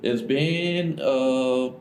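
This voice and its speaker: A man's voice: mid-range voice, very slow. A man in his thirties from Ecuador, telling his story as a podcast guest in a loose, casual way full of "like" and "right?". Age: 30s. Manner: relaxed, loose, lots of "like" and "right?".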